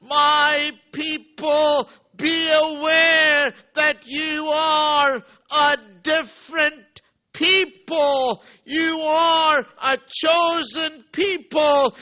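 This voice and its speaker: High, monotone man prophesying very slowly; muffled lo-fi recording. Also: shouting. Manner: slow, halting, with many uh fillers. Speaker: prophetic speaker. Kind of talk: prophecy in a church meeting. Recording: dull low-fidelity recording.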